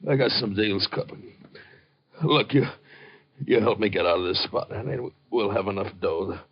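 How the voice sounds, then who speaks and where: A man's voice: fairly low pitch, normal speed, slightly rough. An actor playing a wounded, short-tempered criminal father in a 1950s radio crime drama, talking in coarse, mocking slang and laboring through his injury. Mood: angry, scornful. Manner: coarse slangy tough talk, mocking and snapping. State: coughing, labored from a gunshot wound. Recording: dull, band-limited 1950s radio recording.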